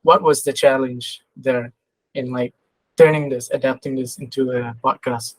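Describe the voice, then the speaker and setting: A man's voice: mid-range voice, fairly even intonation, moderate pace, no room sound, rough recording. A man hosting a podcast interview in a casual, conversational style.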